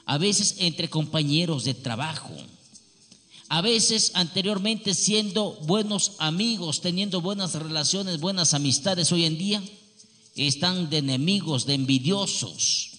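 A man delivering a preaching-style pitch for his healing services, emphatic and repetitive, with pleading appeals. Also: a little slow, bright, forceful tone. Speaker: healer advertising his services.

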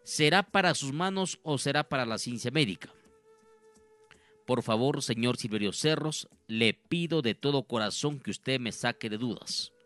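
A man's voice: medium pitch, animated, moderate pace, fairly bright tone. A man addressing radio listeners live as a host and healer, in an earnest, repetitive, preacher-like way.

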